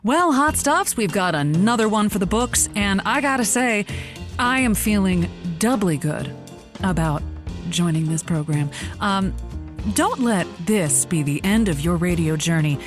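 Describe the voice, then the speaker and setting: Actress playing a theatrical, lively radio agony aunt. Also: female voice, mid-range voice, unhurried, over music, lightly acted. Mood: breezy sign-off. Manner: florid, mannered, affectionate pet names. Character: classy, haughty woman radio agony aunt, theatrical, sharp-tongued. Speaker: radio advice show host. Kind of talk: scripted audio comedy, call-in advice show.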